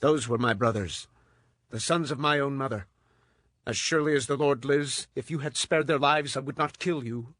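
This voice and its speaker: A man playing a stern, battle-weary Israelite war leader in a dramatized audio Bible, with forceful, declamatory delivery. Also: medium pitch, moderate pace, no echo, studio quality, close to an everyday voice. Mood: grim, restrained.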